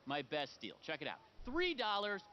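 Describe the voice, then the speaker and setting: Slick, swooping high-voiced carnival barker. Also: male voice, wildly swooping pitch, normal speed, sharp tone, lo-fi sound, a little hiss or hum, full character voice. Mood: coaxing, flattering. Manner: showy sales patter, announcing shots. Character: slick, fast-talking, flattering carnival game barker man. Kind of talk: naturalistic screen acting.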